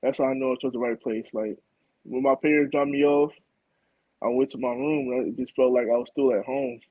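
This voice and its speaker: A young man from Florida talks about himself in a laid-back way, full of "like" and "man". His voice sits at a middle pitch. The recording is a bit dull and dry. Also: brisk, gravelly, no echo. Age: young adult. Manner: relaxed, laid-back, many fillers like "like" and "man". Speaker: college football player guest. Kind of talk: casual interview answers.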